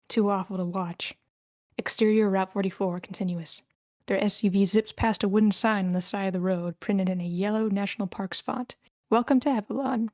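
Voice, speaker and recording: A woman's voice: mid-range voice, darkish tone, dry recording, studio quality. A woman reading the stage directions of a screenplay as scripted narration at a table read.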